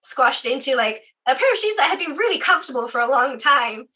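Bright-voiced young guest from the UK. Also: female voice, sits high, sing-song, brisk. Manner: chatty, frequent "like" fillers, laughs often. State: sore voice. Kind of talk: casual interview conversation.

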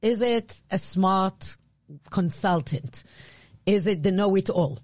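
A woman with an Israeli accent, co-hosting a podcast and explaining branding in a casual, animated way. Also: medium pitch, slow, no echo, studio quality. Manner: animated, restarts often, laughs easily. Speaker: podcast co-host, branding expert.

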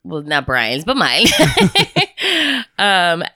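A woman co-hosting a podcast in casual chat, her low voice bright and crisp. She swings up and down as she tells a story, laughing and swearing as she goes. The recording is close and dry. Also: lively intonation, extremely slow, no echo. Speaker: podcast co-host.

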